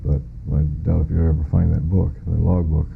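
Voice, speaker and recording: Middle-aged American man, witness testimony, plain-spoken and halting; deep voice with heavy vocal fry. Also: flat delivery. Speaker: witness, former air traffic controller. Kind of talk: recounted personal testimony to camera. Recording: muffled phone-like audio with faint music.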